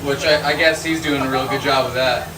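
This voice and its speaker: A deep-voiced man rambles and jokes through a live stream as he works in a glassblowing workshop, swearing casually between thoughts. He sits back from the mic, so his voice rings around a roomy, echoey space. Loud workshop noise runs under everything he says. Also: steady tone, a little fast, far from the mic. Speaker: glassblower livestreamer. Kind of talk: casual live-stream chat while working.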